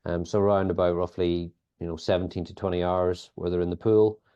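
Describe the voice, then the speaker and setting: A man's voice: low-pitched, little pitch movement, a little fast, warm tone, clean tone. A Northern Irish man answering interview questions thoughtfully, with frequent fillers and restarts. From Northern Ireland. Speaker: sports biomechanics lead, guest. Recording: dull lo-fi audio.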